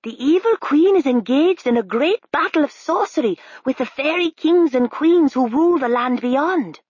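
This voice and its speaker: A woman voicing a high, urgent storybook raven. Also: lively intonation, normal speed, a little mellow, no echo. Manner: urgent, formal old-fashioned speech. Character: wise, friendly raven, urgent messenger. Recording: slightly dull, dry recording.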